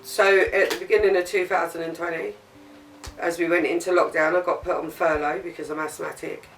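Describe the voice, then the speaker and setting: A woman's voice: on the low side, steady tone, a little fast. A woman in her forties answering interview questions in clipped, matter-of-fact sentences. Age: 40s. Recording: distant mic, music underneath.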